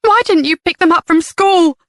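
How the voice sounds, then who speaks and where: A woman's voice: little pitch movement, moderate pace, sharp tone, no echo, studio quality. Woman doing a tearful, frantic young mother. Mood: distraught. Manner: trembling, high and strained.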